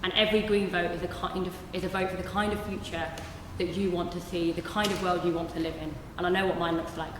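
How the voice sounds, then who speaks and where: A woman's voice: on the low side, little pitch movement, fast talker, hardly any pauses. A woman giving a rousing, rhetorical candidate's speech at a hustings in a large church hall. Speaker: parliamentary candidate. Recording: distant, echoey hall with hiss.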